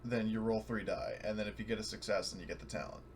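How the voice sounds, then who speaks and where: A man's voice: lowish voice, steady tone, fast, slight background noise. A man chatting casually as a player in a tabletop roleplaying game, swearing freely as he talks. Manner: frequently swearing. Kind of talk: casual chat during an online tabletop game.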